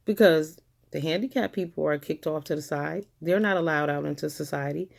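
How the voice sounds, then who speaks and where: Profane, ranting woman with a gravelly voice. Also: deep voice, fairly quick. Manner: blunt, profane, confrontational, repeats phrases for emphasis. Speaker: vlogger. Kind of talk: angry ranting monologue to camera.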